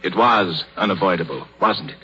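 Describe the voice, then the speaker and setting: Elderly surgeon, very husky yet forceful, self-pitying, regretful, old radio play. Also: male voice, sits low, normal speed, heavily acted. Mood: uneasy. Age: older. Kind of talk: 1930s radio drama acting. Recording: dull 1930s radio broadcast with music.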